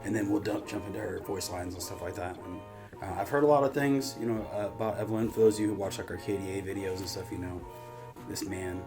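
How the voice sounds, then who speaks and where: A man's voice: low voice, fast talker. A man hosting a casual reaction show, talking informally with slang and some swearing. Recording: music playing behind voice.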